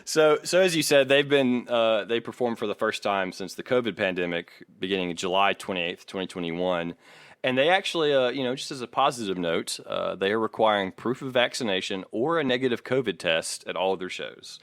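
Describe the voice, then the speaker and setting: Low-voiced, close-miked man hosting a podcast. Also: fairly quick, a bit bright, dry recording. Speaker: podcast host. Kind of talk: casual music podcast talk.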